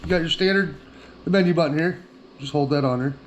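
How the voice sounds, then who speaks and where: A man's voice: a little high, fast talker, slight background noise, rough recording. A man giving a casual hands-on product review in a plain-spoken, folksy way.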